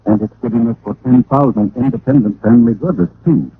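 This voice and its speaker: A man's voice: mid-range voice, sing-song, fast talker, creaky, breathy edge, no room sound. An American man explaining a product like a salesman in a 1940s radio advertisement. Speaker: commercial pitchman. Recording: tinny vintage radio recording.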